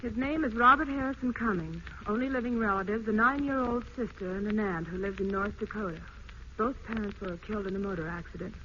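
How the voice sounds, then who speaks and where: An actress playing a kindly, businesslike child placement worker, reassuring and matter-of-fact, in 1940s radio drama style. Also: female voice, mid-range voice, a little fast, bright, forceful tone, close to an everyday voice. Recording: muffled, noisy old radio broadcast.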